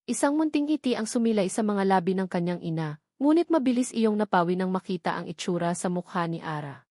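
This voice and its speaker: A woman's voice: medium pitch, animated, a little fast, dark tone, dry recording, studio quality. A woman reading scripted, dramatic narration of a love story.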